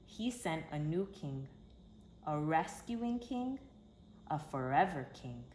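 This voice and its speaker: A woman reading a children's story aloud in an expressive, emphatic storytelling manner. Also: sits low, slow pace. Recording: roomy echo with background hiss.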